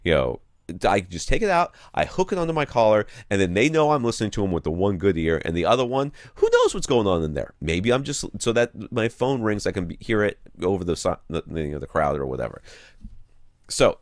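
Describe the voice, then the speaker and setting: Casting: male podcast host, low-pitched and very lively in intonation, rambling casual advice, colloquial turns of phrase. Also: fairly quick, dry recording, clean studio sound.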